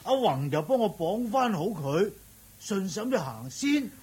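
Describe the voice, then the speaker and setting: An actor playing a fussy, kindly older village uncle in a Hong Kong Cantonese radio drama, excitable and coaxing, with a stammer when flustered. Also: male voice, high-pitched, measured pace, full character voice. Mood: coaxing, explaining. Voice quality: very raspy.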